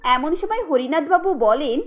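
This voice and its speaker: A woman's voice: sits high, expressive, moderate pace, muffled recording, faint music behind. A woman giving scripted narration for a cartoon family story.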